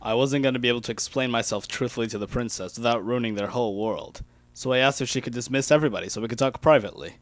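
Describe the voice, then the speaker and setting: A man's voice: medium pitch, fast, no room sound. Man narrating a story, dry close sound. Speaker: amateur fan-fiction reader. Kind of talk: first-person dramatic story narration.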